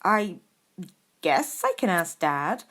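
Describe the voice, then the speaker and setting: A woman's voice: fairly low pitch, sing-song, slow, a bit bright, full character voice. Female reader as young schoolboy, hesitant, stubborn, defensive, storybook character. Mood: reluctant.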